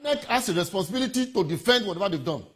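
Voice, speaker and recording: A man's voice: high-pitched, animated, rapid-fire. A Nigerian man speaking as a panel guest, making his case emphatically and repeating phrases for stress. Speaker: legal commentator guest. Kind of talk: televised panel debate.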